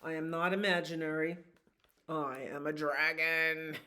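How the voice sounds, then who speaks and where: A stubborn little frog who swears he is a dragon, read aloud by a woman. He talks loudly and insistently in a low, unhurried voice, like a storybook character in a picture-book reading. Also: fairly even intonation.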